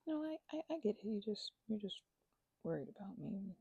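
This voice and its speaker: A woman with a husky, gravelly voice rambles to the listener as her roommate in an ASMR roleplay, stammering and restarting, sometimes sinking into a whisper. Her tone is mellow and dark, and she sits close to the microphone in a dry, dead room. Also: medium pitch, big pitch swings, moderate pace, no room sound, dull audio. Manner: rambling and hesitant, with many fillers and stammered restarts; drops into soft whispers at times.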